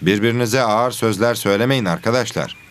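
Patient guy teacher in a kids cartoon man acting, lowish voice, explains stuff, bit of background noise. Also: a little fast, natural voice. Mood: gently firm. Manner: didactic, clearly explaining. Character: patient instructive male religion teacher in a children's cartoon. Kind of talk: scripted educational cartoon dialogue.

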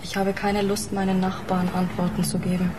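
An actress playing a blunt, wary fiancée in a radio crime drama, speaking in a curt, challenging manner. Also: female voice, medium pitch, completely flat delivery, moderate pace, noisy background, lightly acted. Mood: curt, indignant.

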